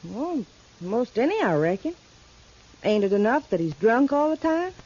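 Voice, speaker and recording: A woman's voice: fairly high pitch, sing-song, moderate pace, a little mellow. An actress playing a stubborn, sassy young mountain girl with an American Southern mountain accent in a 1950s radio western. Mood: blunt, determined. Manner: blunt, sassy, backwoods. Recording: muffled vintage radio recording.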